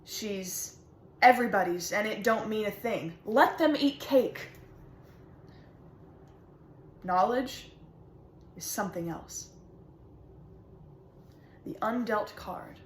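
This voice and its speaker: An intense, reflective lover played by a woman speaks in a dramatic stage monologue. The phrases are deliberate and weighted, set apart by pauses. The voice sits mid-range in an echoey room. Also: medium pitch, a little hiss or hum, natural voice. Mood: reverent, intense. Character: intense lover reflecting on intimate knowledge and loss.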